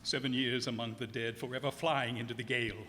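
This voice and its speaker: A man's voice: medium pitch, level tone, fast talker, pushed and bright, slight background noise, natural voice. A man playing a blasphemous, proud ship's captain under a curse, declaiming in archaic, anguished radio drama style. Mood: weary despair. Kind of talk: old-time radio drama acting. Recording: echoey hall, stage performance.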